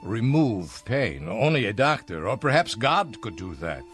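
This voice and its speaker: A man's voice: on the low side, very animated, unhurried, a bit bright, faint music behind. An actor playing a kindly, ailing man of seventy-nine in a radio drama, patient, polite and reassuring. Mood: polite, uneasy. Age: older.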